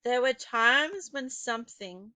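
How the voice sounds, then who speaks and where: Woman reading a kids novel out loud, dramatic n suspenseful, bright voice bit on the high side. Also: smooth, no echo. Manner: expressive, deliberate, suspenseful phrasing. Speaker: teacher reading a children's novel aloud. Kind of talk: dramatic storybook narration.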